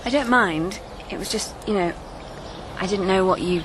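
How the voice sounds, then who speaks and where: An actress playing a polite, earnest young television researcher in a naturalistic radio drama. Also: female voice, mid-range voice, moderate pace, natural voice. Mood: earnest, chatty. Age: young adult. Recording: radio drama with clear background noise.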